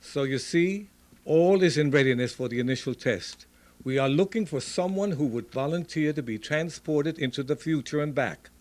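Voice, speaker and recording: A man playing an excited, courteous scientist and inventor in an old-time radio drama, with a grand, deliberate delivery. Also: fairly high pitch, lightly acted. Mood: proud, welcoming. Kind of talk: Golden Age radio drama acting.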